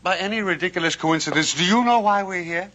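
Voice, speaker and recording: An actor playing a nervous, fussy associate who is easily alarmed and exasperated, in an old western TV drama. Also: male voice, sits high, fast, pushed and bright, highs cut off. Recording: old TV soundtrack with music.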